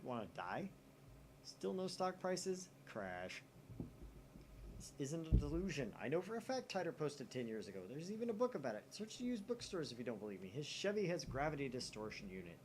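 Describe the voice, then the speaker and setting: A man's voice: on the high side, big pitch swings, a little fast, a little mellow, a little hiss or hum, low-quality recording. A man hosting a let's play, reading a visual novel's chat posts aloud and swearing casually.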